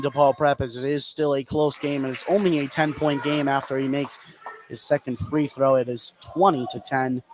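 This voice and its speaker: A man doing live play-by-play basketball commentary for radio, getting excitable on big plays. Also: medium pitch. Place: indoor basketball gym. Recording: noisy live gym broadcast. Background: loud game ambience.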